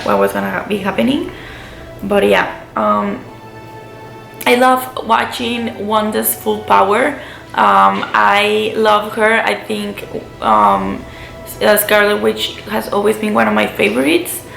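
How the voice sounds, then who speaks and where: A woman's voice: medium pitch, gravelly, fairly bright tone. A woman giving a casual, gushing film review to her viewers, full of enthusiastic emphasis. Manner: gushing, enthusiastic fan talk with repeated emphasis. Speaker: movie review vlogger. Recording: roomy echo, faint music behind.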